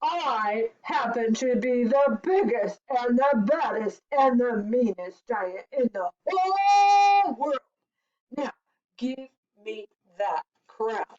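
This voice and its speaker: An enormous storybook giant with a bully's bluster, put on by a woman. The words come slowly and haltingly, with lively rises and falls. The recording is a bit dull. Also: high-pitched, voice pushed up, full character voice. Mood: blustering, menacing. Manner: blustering, stammering. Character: enormous bullying giant, cowed by his mama.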